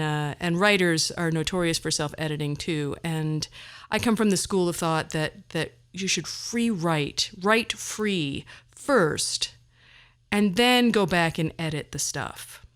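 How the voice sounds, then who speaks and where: Smooth-voiced woman hosting a podcast. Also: lowish voice, takes it slowly, a bit bright. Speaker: podcast host. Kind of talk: conversational podcast monologue.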